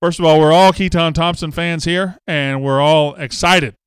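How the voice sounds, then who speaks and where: A man's voice: on the high side, fairly even intonation, normal speed, clear, resonant tone, dry recording. A man hosting a casual live show, chatting and reading viewer comments with self-mocking jokes. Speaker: host. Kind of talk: casual live-stream chat.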